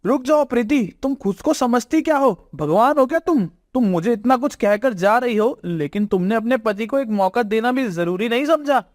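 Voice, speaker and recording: A woman's voice: a little high, animated, normal speed, few pauses, darkish tone, no echo, clean studio sound, lightly acted. A woman voicing an arrogant young husband in a story, indignant and scornful. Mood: angry. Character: arrogant, short-tempered young husband and son.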